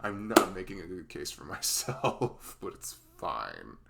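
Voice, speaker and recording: A man's voice: deep voice, huge pitch swings, unhurried, vocal fry, crowd noise, exaggerated voice. A man playing a dorky, nervous young best friend in a conversational ASMR roleplay, rambling and stammering with self-deprecating humor. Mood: flustered, joking.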